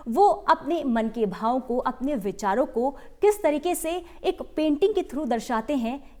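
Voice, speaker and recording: A woman hosting a television interview, speaking in a measured, formal announcer style. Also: a little high. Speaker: host.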